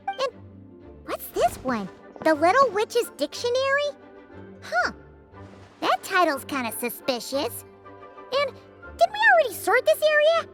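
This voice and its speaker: A woman voicing a tiny, excitable, girlish fairy companion in a video game, with a squeaky childlike voice. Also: heavily acted. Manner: refers to herself in third person, exclaims.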